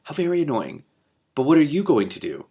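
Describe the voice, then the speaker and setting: A man voicing a small, nervous, excitable talking lizard with a squeaky, fussy, dramatic way of speaking. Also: brisk. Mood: curious, fussy. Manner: fussy, dramatic, prim exclamations.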